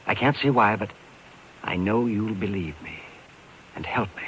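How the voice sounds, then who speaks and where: An American actor playing a desperate, guilt-ridden carnival man in 1950s radio style, halting and pleading. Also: fairly low pitch, a bit bright, natural voice, rough recording. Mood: anguished confession. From Illinois, United States. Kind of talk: 1950s radio drama acting. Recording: hissy old radio recording.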